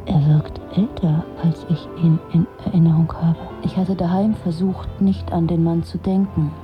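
A woman's voice: sits low, highs cut off. An actress playing a disillusioned, sardonic middle-aged woman, delivering a dry, brooding literary monologue in a radio drama.

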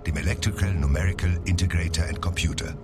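A man's voice: deep voice, fairly even intonation, fast, close to an everyday voice. A man playing a formal, factual history lecturer in a radio play. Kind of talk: scripted documentary narration in radio play. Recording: very loud background noise.

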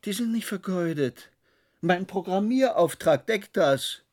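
Cheeky, animated programmer. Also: male voice, high-pitched, measured pace. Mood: indignant. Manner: breezy, exclaiming, self-justifying. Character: cheeky, defensive male programmer, playful and unrepentant. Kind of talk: German radio drama acting.

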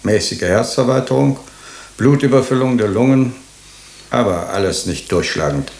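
Radio drama pathologist: dry, clipped, clinical, mid-pitched voice in a roomy echo. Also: male voice, animated, normal speed, gravelly, darkish tone. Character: matter-of-fact male pathologist, dry and clinical.

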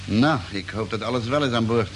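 A man playing a steady, easygoing spaceship crewman, terse and matter-of-fact, in old radio drama style. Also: medium pitch, fairly quick, lightly acted. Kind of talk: 1950s Dutch radio drama acting. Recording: old, faintly noisy radio recording.

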